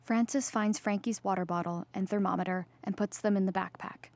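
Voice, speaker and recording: A woman reading scripted stage directions in a measured, neutral, descriptive manner. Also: medium pitch, steady tone, a little mellow. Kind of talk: scripted narration, present-tense stage directions.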